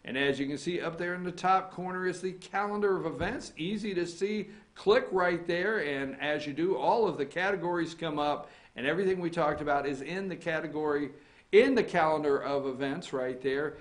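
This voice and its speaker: A man's voice: on the high side, moderate pace. A man hosting a casual morning talk show, teasing his co-host and steering the chat. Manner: teasing, banters and interrupts.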